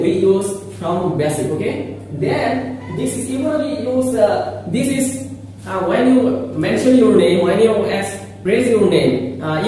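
A young man teaching a beginner English grammar lesson at a whiteboard, explaining slowly with many fillers and repetitions. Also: high-pitched, a little mellow. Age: young adult. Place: small indoor room with whiteboard. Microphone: camera mic from a distance. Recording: echoey room, far from mic.

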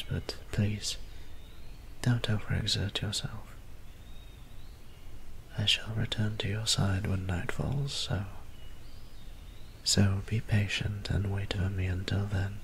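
Male actor as courtly, shy vampire priest, ASMR audio drama. Soft, breathy, very even delivery, slow and tender. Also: fairly low pitch, a little hiss or hum. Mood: gentle, fond. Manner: hesitant, tender, formal old-fashioned wording.

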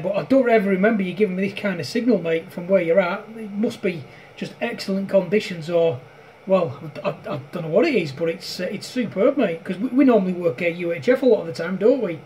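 A man's voice: sits high, darkish tone, a little hiss or hum, poor audio. A man chatting casually and matily over amateur radio, with an informal, bantering manner. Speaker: amateur radio operator filming a contact.

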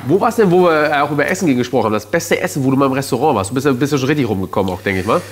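A man's voice: medium pitch, expressive, rapid-fire, a little hiss or hum. A man in his thirties hosting a cooking show in a studio kitchen, chatty and jokey, explaining each step while he cooks. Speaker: TV chef and host. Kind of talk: casual cooking show chat.

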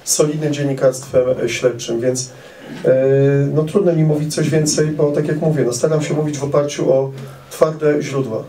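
Measured Polish man, roomy hall sound. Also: a little high, steady tone, dark tone, a little hiss or hum. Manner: measured, many "e" fillers, precise. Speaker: author at a meet-the-author event. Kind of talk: answering audience questions. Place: indoor event hall with audience. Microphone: handheld mic. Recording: roomy hall sound, camera some distance off.

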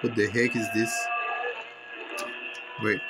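A man's voice: lowish voice, level tone, takes it slowly, music in the background. A man chatting casually and off the cuff as he tests a radio, rambling to himself in slangy talk. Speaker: shortwave radio hobbyist filming a scanning video. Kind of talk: casual off-the-cuff chat while testing a radio. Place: indoors at a desk. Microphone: handheld phone. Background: shortwave radio noise.

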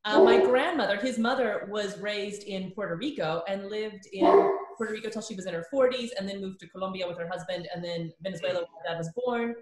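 Thirty-something woman hosting a class video call from home, chatty, lots of asides, echoey room sound. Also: mid-range voice. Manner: chatty, thinks aloud with quick asides. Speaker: course instructor hosting. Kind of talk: conversational video-call interview. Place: living room with bookshelves. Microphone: laptop webcam on a video call.

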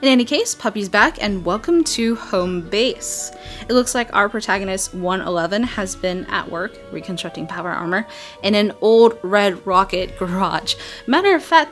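Excited chatty woman gamer over music. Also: mid-range voice. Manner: excited, chatty, emphatic delivery. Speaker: video game channel host. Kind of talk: game trailer breakdown voice-over, semi-scripted.

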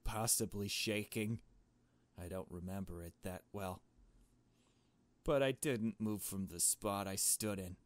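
A man's voice: on the low side, measured pace, long pauses, lightly acted. A man voicing a nervous, shaken young woman who narrates a visual novel mystery in a hesitant, uneasy way. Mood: subdued, uneasy. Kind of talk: visual novel story read aloud.

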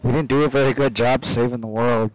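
A man's voice: mid-range voice, fast, rough recording. A man giving casual, sweary commentary while playing a video game. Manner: animated, sweary, prone to yelps. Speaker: gamer, co-player. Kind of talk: casual let's play commentary.

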